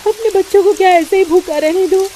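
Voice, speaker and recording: A woman's voice: very high-pitched, fairly quick, warm tone, a little hiss or hum, voice pushed up. A woman voicing a poor, pregnant young mother who speaks in a pleading, tearful way. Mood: despairing.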